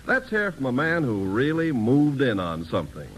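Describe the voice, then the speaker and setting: A man's voice: mid-range voice, huge pitch swings, moderate pace, a little hiss or hum. A man announcing from a script in early 1950s network radio style. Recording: old radio recording, faint music behind.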